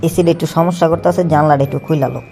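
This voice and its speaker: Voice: medium pitch, steady tone, rapid-fire, close to an everyday voice. A voice actor playing a stingy, joking male bachelor who talks in rhymes. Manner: rhyming, joking banter. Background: cartoon background music.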